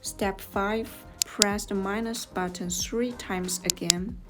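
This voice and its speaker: A woman reading scripted step-by-step tutorial narration in a measured, instructional manner. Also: mid-range voice, fairly even intonation. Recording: voice over clear background music. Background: music.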